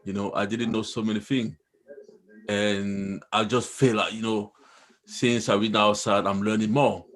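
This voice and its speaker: A man's voice: on the low side, little pitch movement, unhurried. A man in a casual online chat, asking questions and reflecting on what he has learned.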